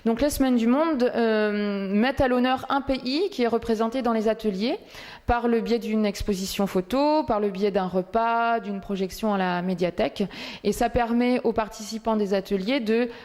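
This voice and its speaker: A woman explaining as a social centre presenter in interview style, pausing to find her words. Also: on the high side, a little mellow.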